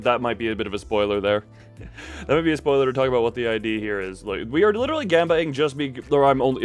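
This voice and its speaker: A man doing a casual, rambling game stream, playfully joking and talking back to his viewers. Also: mid-range voice.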